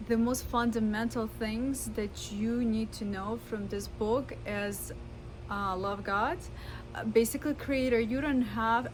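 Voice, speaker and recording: A woman's voice: on the high side, poor audio. Russian-accented woman talking earnestly over noise. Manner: earnest, halting, many fillers and restarts. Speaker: vlogger. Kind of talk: casual talk to camera.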